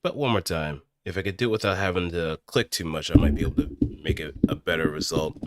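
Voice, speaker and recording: A man streaming casually, thinking aloud in a halting, musing way as he works. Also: low voice, steady tone.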